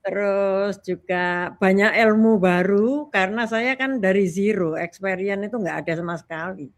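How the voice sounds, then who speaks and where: A woman's voice: medium pitch, moderate pace. Chatty older Indonesian woman. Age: 60s. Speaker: talk guest. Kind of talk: casual chat.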